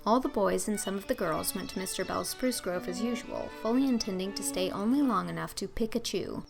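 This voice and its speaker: Female audiobook narrator: theatrical literary storytelling with some fry in the tone, over clearly audible music. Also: mid-range voice, fairly quick. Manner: theatrical, emphatic. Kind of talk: expressive scripted storybook narration.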